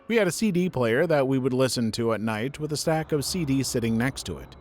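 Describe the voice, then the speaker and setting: A man reading online stories aloud as a narrator, at a steady, even pace. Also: on the high side. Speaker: story narrator.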